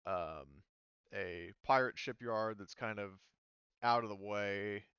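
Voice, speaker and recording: A man's voice: on the low side, slow pace, fairly bright tone, clear, resonant tone, dry recording, muffled recording. A man narrating a tabletop game as game master, rambling with many fillers and restarts.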